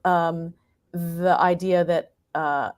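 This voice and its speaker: A woman with a fairly low voice gives reflective answers in an interview. She speaks earnestly and haltingly, feeling her way toward the right word. The sound is very dry, with hardly any room on it. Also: fairly even intonation, darkish tone, dry recording. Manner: hesitant, searching for words, earnest. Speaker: playwright and performer being interviewed.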